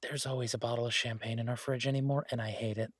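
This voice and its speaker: A man playing an easygoing, affectionate chef in an intimate boyfriend roleplay audio, talking in a husky, halting, teasing way to a partner. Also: medium pitch, fairly even intonation, no room sound, clean studio sound, lightly acted. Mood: casual, wistful. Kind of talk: intimate boyfriend roleplay audio, casual one-sided chat.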